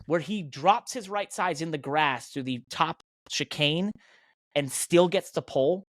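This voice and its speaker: A man's voice: fairly high pitch, a little slow, no echo. An American man hosting a motorsport podcast, talking in an emphatic, indignant and opinionated way. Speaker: podcast host and professional race car driver.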